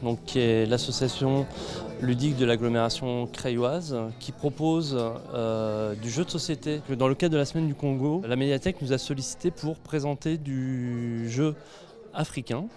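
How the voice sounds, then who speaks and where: A man's voice: medium pitch, moderate pace, a little mellow, soft background music. A man representing a board game club, explaining a game in an interview.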